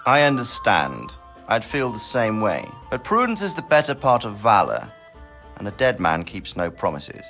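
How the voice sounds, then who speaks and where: A middle-aged lord in a medieval game. He speaks eloquently and with authority, his mid-pitched voice moving expressively, with a wry edge. Soft music sits behind him. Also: wildly swooping pitch, moderate pace, heavily acted. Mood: stern, reasoning. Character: measured, fair-minded middle-aged medieval nobleman. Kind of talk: video game cutscene acting.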